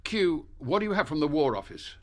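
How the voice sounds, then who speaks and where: An actor playing an authoritative, gruff British spy chief in a radio drama, clipped and commanding. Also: male voice, a little high, expressive, a little fast, lightly acted. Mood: businesslike.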